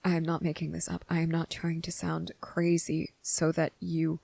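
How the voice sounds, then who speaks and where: A woman voicing an anxious, guilt-ridden young male vampire in intimate ASMR roleplay, breathy and husky, halting and earnest. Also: low-pitched, completely flat delivery, warm tone, no room sound, exaggerated voice. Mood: panicked, pleading. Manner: halting, hesitant, earnest, pleading.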